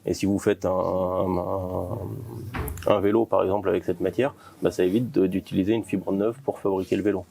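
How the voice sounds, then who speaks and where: Low, gravelly Frenchman explaining his work. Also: steady tone, normal speed, warm tone, slight background noise. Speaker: company co-founder being interviewed. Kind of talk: informal interview explanation.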